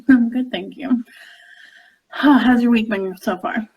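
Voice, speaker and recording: A woman's voice: on the high side, takes it slowly, husky, highs cut off. A woman hosting a live online interview, asking friendly questions in short prompts. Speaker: interviewer, host. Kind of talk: hosted interview, live stream.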